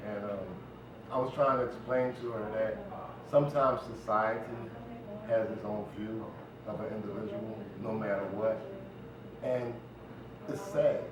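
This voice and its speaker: Low-voiced American man in a very echoey room. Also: fairly even intonation, measured pace. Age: middle-aged. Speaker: interview guest, stage play writer. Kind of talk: casual conversational interview. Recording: very echoey room, distant mic, noisy.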